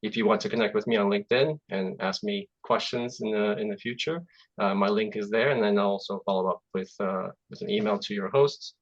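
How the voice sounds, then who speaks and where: A man in his forties whose first language is Russian, born in Azerbaijan, giving a relaxed, chatty talk as a guest software engineer over a video call, with many fillers and restarts. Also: lowish voice, fairly quick, highs cut off. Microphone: computer mic on video call.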